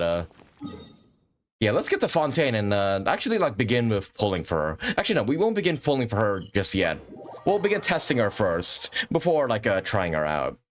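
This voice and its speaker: Low-voiced man chatting on a game stream. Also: slight background noise. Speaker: livestream host. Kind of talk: casual gaming stream commentary.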